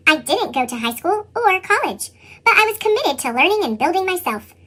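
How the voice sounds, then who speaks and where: A woman reading a children's picture book as an earnest young boy, with a squeaky pitched-up chipmunk effect. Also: brisk, heavily acted. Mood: matter-of-fact. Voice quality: squeaky, sped-up chipmunk sound. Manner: animated children's read-aloud delivery. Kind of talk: scripted picture-book narration.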